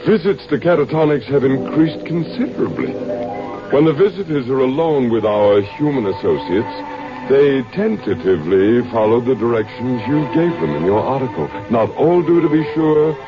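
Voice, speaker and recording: An actor playing a courteous, superior alien overseer in a radio drama, speaking with formal, precise phrasing. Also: male voice, medium pitch, lively intonation, moderate pace, lightly acted. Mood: pleased. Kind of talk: mid-century radio drama acting. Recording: dull vintage radio recording with music.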